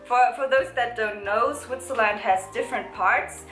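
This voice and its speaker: Bright-voiced chatty woman vlogger. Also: on the high side, normal speed, reverberant, faint music behind. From Germany. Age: 20s. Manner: chatty, giggly, lots of fillers. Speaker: vlogger, channel host. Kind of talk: casual chat.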